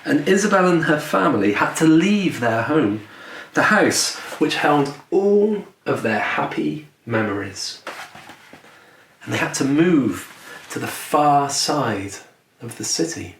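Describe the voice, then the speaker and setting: A man who is a children's author reading his picture book aloud in a gentle storytelling manner with deliberate dramatic pauses. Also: a little high, lively intonation. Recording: roomy echo, distant mic, faint noise.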